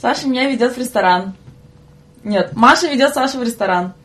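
A young woman with a somewhat high-pitched voice chats as a guest on a podcast. Her talk is casual and blunt, full of slang and joking remarks. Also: a little fast, fairly bright tone. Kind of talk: casual chat.

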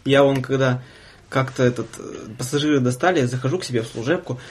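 A young man hosting a casual podcast, telling joking anecdotes in a halting, chatty way. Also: medium pitch. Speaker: podcast host. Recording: clear background noise, clicks.

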